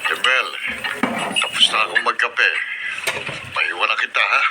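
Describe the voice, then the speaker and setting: A man's voice: on the low side, unhurried, natural voice. Actor as stern Filipino farmer father, noisy radio recording. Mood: firm, caring. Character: stern farmer father, cold to his youngest son. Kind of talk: Filipino radio drama acting. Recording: very noisy radio playback, household clatter.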